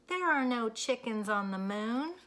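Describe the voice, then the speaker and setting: A woman voicing a bossy, excitable know-it-all cow in a children's story, lecturing and exclaiming emphatically. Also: on the high side, measured pace, noisy background, voice pushed up. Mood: insistent lecturing.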